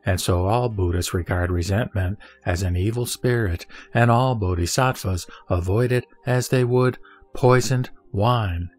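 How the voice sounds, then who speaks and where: A man reading scripture as a solemn scripted narration, in measured, deliberate phrases with pauses. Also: mid-range voice. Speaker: sutra reciter. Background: background music.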